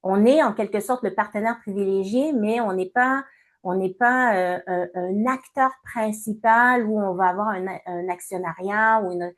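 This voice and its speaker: A woman in her fifties from Montreal with a Québécois accent, answering interview questions in a measured way with many hesitations. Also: medium pitch. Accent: Québécois French. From Montreal, Canada. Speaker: guest, entrepreneur and coach.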